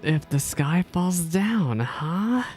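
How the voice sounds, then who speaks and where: Woman streamer, low-pitched, relaxed warm tone, casual reactive commentary, faint game music. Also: takes it slowly. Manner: reactive, echoes phrases, casual.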